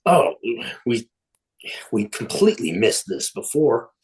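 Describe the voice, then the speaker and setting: A man's voice: medium pitch, very animated, takes it slowly, a little mellow, slightly rough, pitched above the performer's own voice, full character voice, poor audio. A man playing an earnest, puzzled woman wildlife officer in a tabletop game, hesitant and analytical. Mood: troubled, hesitant.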